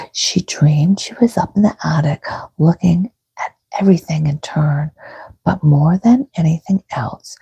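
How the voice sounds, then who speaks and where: Breathy, whispering woman reading bedtime stories slowly. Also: sits low, no echo. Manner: gentle, soothing, unhurried. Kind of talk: soft-spoken ASMR storybook reading.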